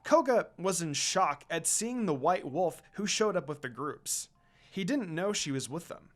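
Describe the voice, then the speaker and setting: A man's voice: a little high, animated, measured pace, a bit bright, soft background music. A man narrating a fan fiction story as a plain reader. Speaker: fanfiction reader.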